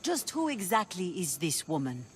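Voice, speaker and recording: A woman's voice: fairly low pitch, big pitch swings, moderate pace, a little hiss or hum. An actress voicing a bold female pirate leader in a video game, wry and challenging. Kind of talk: video game cutscene acting.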